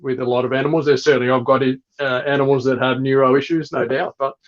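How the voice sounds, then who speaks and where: A man's voice: mid-range voice, little pitch movement, fast. A man talking casually and unscripted as a reptile breeder, in a hesitant, matter-of-fact way. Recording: slightly dull, lo-fi sound.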